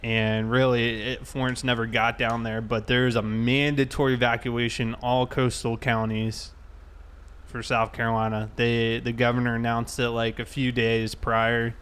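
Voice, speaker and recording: A man's voice: mid-range voice, little pitch movement, unhurried, fairly bright tone, smooth. An American man chatting casually and in a laid-back, conversational way while livestreaming a game.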